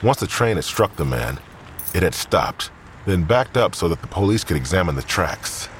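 A man's voice: low voice, big pitch swings, moderate pace, noisy background. A man reading scripted crime fiction as the narrator.

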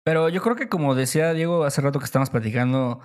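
A man's voice: mid-range voice, quick pace, darkish tone. A man hosting a podcast, asking questions in a friendly, conversational way. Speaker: podcast host. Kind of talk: casual interview questions.

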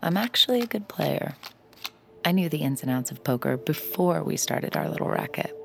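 Gravelly, sly woman card hustler. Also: low-pitched, animated, normal speed, slight background noise, exaggerated voice. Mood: wry, confiding. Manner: wry, confiding, self-assured. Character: sly, cocky young woman poker hustler narrating her con. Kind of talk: first-person audio drama narration and dialogue.

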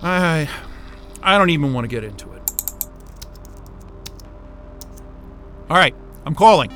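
A man's voice: fairly high pitch, lively intonation, a little fast, bright, forceful tone, faint music behind. An actor playing a panicked, sweary man stranded at a polar station in an audio drama, urgent and exasperated. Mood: irritated, hurried.

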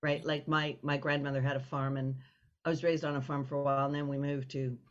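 A woman's voice: rumbling low, flat delivery, fairly quick. A woman hosting a casual interview, effusive and rambling as she talks, on slightly lo-fi audio. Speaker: interview host.

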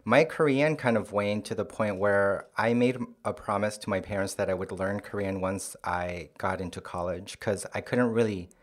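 A man from Chile, a playwright guest, talking casually with soft, hesitant pauses and many ums. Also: fairly low pitch. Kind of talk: casual conversation.

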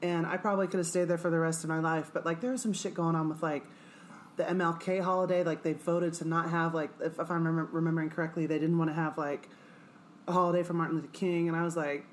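Lower-voiced singer lady from kansas, swears a lot, chatty, faint hum behind. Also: level tone, fast. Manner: loose and profane, many fillers, laughs often. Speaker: guest performer and singer. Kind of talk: casual interview chat.

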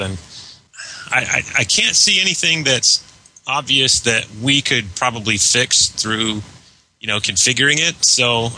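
A man from the United States chatting casually as a tech-minded podcast guest, explaining things in a hesitant, measured way. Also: fairly low pitch, pushed and bright, slight background noise, poor audio. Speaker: guest and server admin. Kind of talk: casual technical chat.

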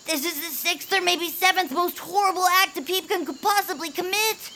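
Creaky, grandiose little inventor creature. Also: female voice, very high voice, normal speed, bright, forceful tone, slight background noise, heavily acted. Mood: panicked. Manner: theatrical, self-important, formal phrasing. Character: eccentric, excitable small inventor creature, grandiose and dramatic. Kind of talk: cartoonish audio fiction acting.

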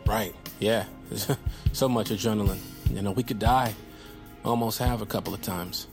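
Man playing an awkward, excitable young guy. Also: fairly low pitch, moderate pace, music in the background, natural voice. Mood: awkward, nervous. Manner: stammering, hesitant, excitable when provoked. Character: awkward, flustered young man, earnest and defensive. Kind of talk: modern audio-drama comedy dialogue.

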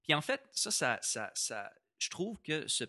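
A man's voice: on the high side, very animated, a little slow, sharp tone, dry recording, studio quality. A man with a Québécois French accent explaining policy in a casual discussion, repeating words as he thinks and mixing in English terms. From Quebec, Canada.

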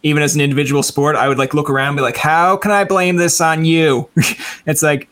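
A man with a fairly high voice hosts a casual interview. He talks informally, wandering through his questions and joking along the way. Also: fairly even intonation, fairly quick, fairly bright tone. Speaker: talk show host.

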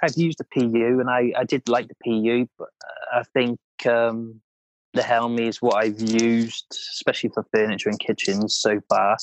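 A man's voice: medium pitch, level tone, normal speed, slight background noise, low-quality recording. A man with a Welsh accent, a guest in a casual podcast chat about painting and decorating, who talks hesitantly with many ums and restarts.

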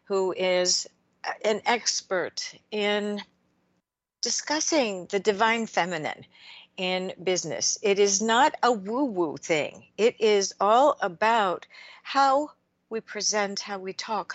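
A woman hosting a radio interview, speaking in a measured, reflective way and asking long framing questions. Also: mid-range voice, fairly bright tone, no room sound, muffled recording. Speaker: radio show host.

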